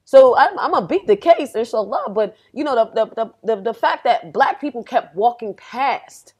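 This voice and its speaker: A woman's voice: moderate pace, husky. A young adult woman, a rapper guest, from Pennsylvania and raised in Texas, talking casually and acting out what people said. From Pennsylvania, grew up in Houston, Texas. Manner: animated storytelling, acts out quotes with rising pitch. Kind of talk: casual podcast conversation.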